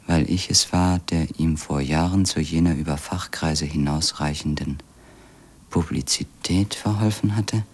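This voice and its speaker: Brooding washed-up editor in a radio drama, really slow n halting, low murmury voice. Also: male voice, a little mellow, exaggerated voice. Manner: halting, repeats words, trails into murmurs. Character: brooding, indolent male ex-editor, wearily proud. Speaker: narrator and protagonist of a radio play. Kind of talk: literary radio drama narration.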